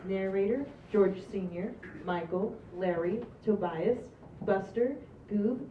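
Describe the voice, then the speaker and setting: A woman's voice: medium pitch, takes it slowly. A woman narrating stage directions from a script at an amateur table read. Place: small indoor stage. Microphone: far camera. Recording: distant echoey muffled noisy camera audio.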